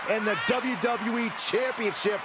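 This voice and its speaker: A man's voice: very high voice, steady tone, a little slow. A man acting as a dramatic commentator in a wrestling video game. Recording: very loud arena noise behind.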